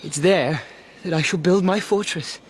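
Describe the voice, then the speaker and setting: An ambitious warrior king in a radio play. His voice is high for a man, commanding and resolute, with faint ambience behind it. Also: moderate pace. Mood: assured. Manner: commanding, declarative. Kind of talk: radio drama acting. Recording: faint outdoor-like ambience noise.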